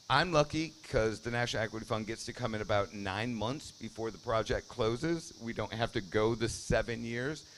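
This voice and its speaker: Low-voiced man giving upbeat outdoor remarks. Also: poor audio. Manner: energetic, congratulatory, repeats himself. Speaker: funding partner speaker. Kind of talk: informal ceremony remarks. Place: outdoors at a ribbon cutting. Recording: faint outdoor noise with crickets.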